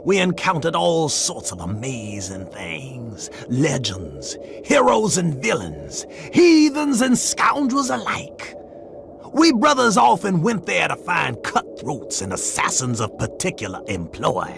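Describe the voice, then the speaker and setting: A high-pitched, creaky old wandering warrior from a video game. He stretches his words slowly and theatrically, cunning and grandiose, like a storyteller. Music plays loudly behind him. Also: huge pitch swings, heavily acted. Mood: grandiose narrating. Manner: grandiose, sing-song storytelling. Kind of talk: dramatic video game cutscene acting.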